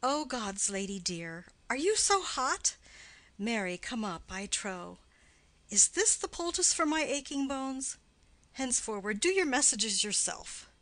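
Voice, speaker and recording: Classical play: old nursemaid played by a woman, high, slow, exclaiming, a weary teasing grumbler. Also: big pitch swings, fairly bright tone, no room sound, exaggerated voice, poor audio. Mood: indignant. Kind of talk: classical stage play reading.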